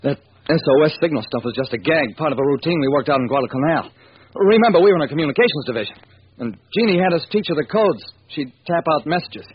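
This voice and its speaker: An old, dull radio recording with some hiss features an excitable, earnest man. His voice sits high and swings widely as he speaks with emphatic period radio delivery. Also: fast, breathy edge. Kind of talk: 1940s radio acting.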